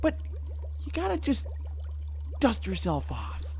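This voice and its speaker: A man's voice: high-pitched, huge pitch swings, takes it slowly, gravelly, darkish tone, a little hiss or hum, exaggerated voice. An actor playing a naive, dim, eager young man in an audio drama, stammering and earnest in a nervously upbeat way. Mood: earnest, consoling.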